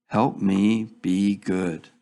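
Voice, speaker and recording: A man reading a children's picture book aloud in a deliberate, instructive way, as if to young children. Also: deep voice, steady tone, gravelly, dark tone. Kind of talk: read-aloud storybook narration.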